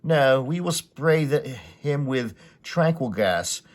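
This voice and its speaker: A man's voice: medium pitch, expressive, slow pace. A man voicing an aloof, coolly curious adult giant from a comic story, speaking in formal, stilted pronouncements. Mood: clinical.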